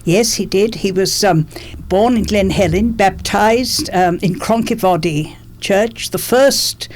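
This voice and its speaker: A woman's voice: mid-range voice, takes it slowly, darkish tone, a little hiss or hum. An eighty-one-year-old woman telling family stories in an interview, articulate and rather formal in her phrasing. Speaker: interviewee, former politician. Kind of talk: storytelling reminiscence in an interview.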